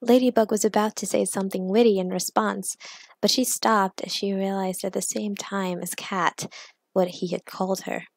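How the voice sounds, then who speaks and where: A woman narrating scripted fan fiction as an expressive storyteller. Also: mid-range voice, moderate pace, creaky, dry recording. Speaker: narrator and author.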